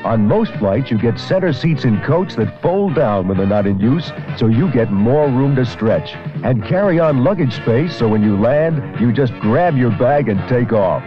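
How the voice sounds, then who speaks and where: A male announcer giving an upbeat, smooth pitch in a vintage radio ad. His voice rises and falls with energy. Music plays clearly behind the dull broadcast sound. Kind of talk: 1970s radio commercial.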